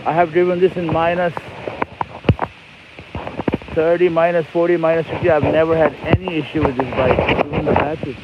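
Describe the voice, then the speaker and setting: Cheerful man on a bike. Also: a little high. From Nepal. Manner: cheerful, rambling, enthusiastic. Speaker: livestream host. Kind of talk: casual livestream chat. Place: outdoors on an e-bike in winter. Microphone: phone. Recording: lo-fi phone stream with loud outdoor noise.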